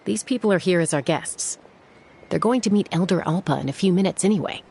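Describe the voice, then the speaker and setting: A woman playing a composed young warrior and protective peacemaker in a video game, with a calm, measured, gently firm manner. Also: on the low side, gravelly, breathy edge.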